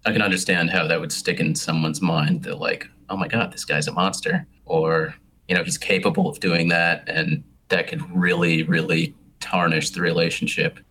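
A man with a very deep but bright, forward voice speaks over a phone call. He answers reflectively and hesitantly, restarting his phrases as he thinks. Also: flat delivery, normal speed, slight background noise.

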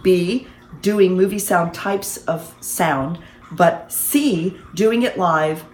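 A woman's voice: lowish voice, drawn-out words. A woman talking casually in an interview as a theater performer, recorded in a reverberant room. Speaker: theater performer and sound effects artist. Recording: echoey room with clear background noise.

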